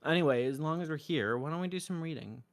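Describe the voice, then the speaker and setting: A man's voice: on the high side, fast, faint music behind. A man streaming a game, reading a visual novel's narration and dialogue aloud into a close mic.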